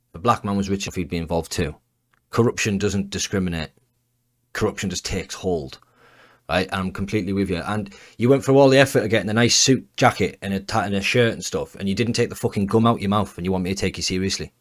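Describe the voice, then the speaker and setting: A man's voice: low voice, fast talker. A man with an English accent delivering a blunt, argumentative commentary rant, swearing freely. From England. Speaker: commentary channel host. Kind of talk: ranting commentary.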